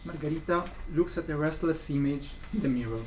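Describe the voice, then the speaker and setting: A man's voice: a little high, brisk, a little mellow. A young man reading scripted narration of a stage play. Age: young adult. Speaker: student narrator of a play summary. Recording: faint room noise.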